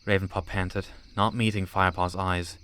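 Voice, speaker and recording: A man's voice: low voice, little pitch movement, normal speed, fairly bright tone, clear, resonant tone. A man narrating an audiobook story from a script.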